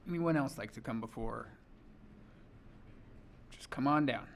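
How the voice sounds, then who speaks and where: A man's voice: medium pitch, measured pace, vocal fry, a little hiss or hum. A man chairing a city planning commission meeting, politely inviting members of the public up to speak. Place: council chamber.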